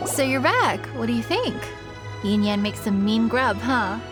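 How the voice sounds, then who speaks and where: Lively, friendly festival vendor. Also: female voice, on the high side, unhurried, music in the background. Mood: casual, amused. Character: friendly, helpful female festival vendor, casual and teasing.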